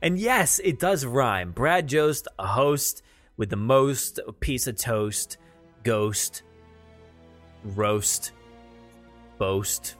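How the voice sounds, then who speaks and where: A man hosts a podcast on his own with music behind him. He talks casually in a mid-pitched voice, rambling and halting as he goes. His manner is self-effacing, appreciative and enthusiastic. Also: animated, fairly bright tone. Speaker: podcast host. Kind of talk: casual solo podcast talk.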